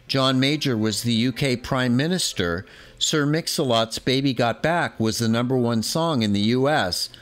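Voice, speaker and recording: A man in his sixties reading a scripted voice-over in a measured, informative way. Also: medium pitch, a bit bright. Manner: measured, informative, fond of the subject. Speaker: documentary narrator.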